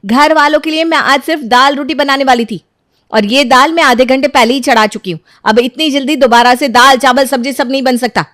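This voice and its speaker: A woman voicing a careless, defensive young wife in an animated story. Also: sits high, fairly quick, no echo, clean studio sound. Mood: defiant. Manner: defensive, argumentative.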